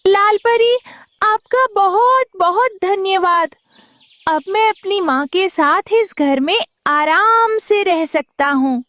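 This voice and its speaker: Lady doing a super high little cartoon bird boy, slow talking, sweet n earnest, dry sound. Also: fairly bright tone, no echo, exaggerated voice. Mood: grateful. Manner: earnest, grateful. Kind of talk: children's cartoon voice acting.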